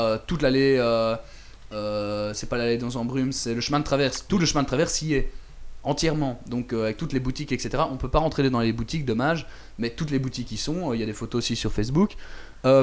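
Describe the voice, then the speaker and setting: A man with a middle-pitched voice talks in a loose, casual way. He stumbles over his words, repeats himself and fills pauses with hesitations. He chuckles often as he tells a story. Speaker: radio show contributor recounting a trip. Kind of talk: casual chat.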